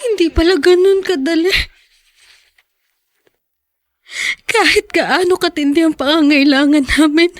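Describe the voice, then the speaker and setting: A woman's voice: very high voice, no echo, studio quality. An actress playing a poor, troubled and devoted teenage girl in an audio drama, earnest and emotional. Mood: tearful. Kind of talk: melodramatic Tagalog audio drama acting.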